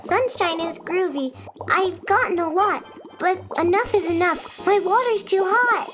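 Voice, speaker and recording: A woman's voice: extremely high pitch, unhurried, a bit bright, faint music behind, voice pushed up. A woman voicing a groovy, complaining pond in rhyming sing-song for a children's radio play. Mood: grumbling.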